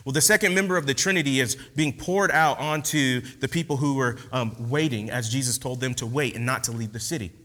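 A man's voice: medium pitch, a little fast, hardly any pauses. A man giving a casual, slangy sermon after an opening prayer, talking with many fillers. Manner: relaxed, slangy, animated teaching with many fillers. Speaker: preacher. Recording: long hall reverb.

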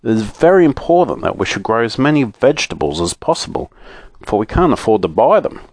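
A man's voice: fairly low pitch, big pitch swings, brisk, darkish tone, breathy edge, dry recording, clean studio sound, exaggerated voice. A man playing a careworn, stern father in a children's storybook, grumbling as he speaks.

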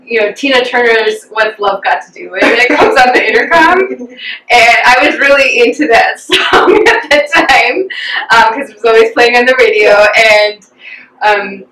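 Bright-voiced young woman telling stories. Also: a little high, moderate pace. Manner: chatty and reflective, with frequent fillers. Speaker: interview guest. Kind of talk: casual personal storytelling. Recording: roomy echo, distant from the mic.

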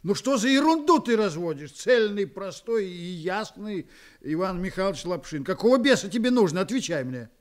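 Excitable blunt friend, high-pitched, very animated, badgering, emphatic, radio drama. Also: male voice, moderate pace. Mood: exasperated. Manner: badgering, emphatic, rhetorical questioning. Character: exasperated, blunt, excitable male friend of a detective. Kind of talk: Russian radio play acting.

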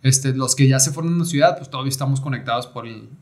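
Young man, podcast guest, loose and slangy, lots of fillers, mid-pitched. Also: rapid-fire. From Mexico (Baja California). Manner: relaxed storytelling with slang and many fillers. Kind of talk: casual conversation.

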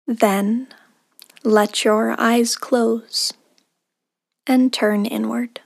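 A woman guiding a meditation in a soothing, unhurried manner, leaving long pauses between short phrases, with soft, breathy endings. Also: on the high side, steady tone, no echo, studio quality. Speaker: meditation guide. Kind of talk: guided meditation narration.

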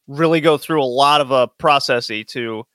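A man hosting a casual podcast chat, rambling quickly and laughing often. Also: medium pitch, bright, forceful tone, dry recording, clean studio sound. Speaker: podcast host.

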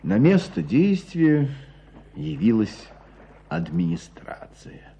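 An actor playing a wry storyteller narrating a radio drama in a husky voice. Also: male voice, on the low side, wildly swooping pitch, slow, warm tone, a little hiss or hum, full character voice. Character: wry storyteller recounting a provincial dispute. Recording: dull radio drama recording.

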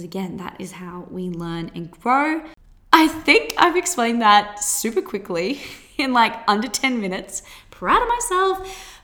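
A woman in her twenties with an Australian accent, hosting a solo podcast in a bubbly, chatty way with quick laughs. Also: mid-range voice, fairly bright tone. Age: 20s. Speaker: podcast host.

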